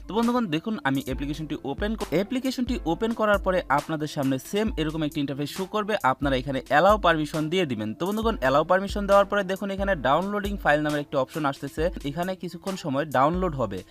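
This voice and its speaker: A man narrates a step-by-step phone app tutorial at a very quick pace. His voice sits a little high, and he keeps addressing viewers as friends. Music plays behind him. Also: animated. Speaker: tutorial host.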